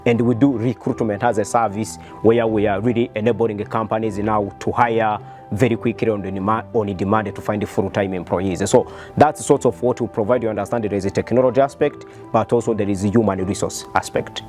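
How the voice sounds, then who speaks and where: A man's voice: fairly low pitch, steady tone, fairly quick, darkish tone, faint music behind. A man with a Rwandan accent speaking as a company founder, explaining his business in an earnest interview style. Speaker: startup founder and CEO.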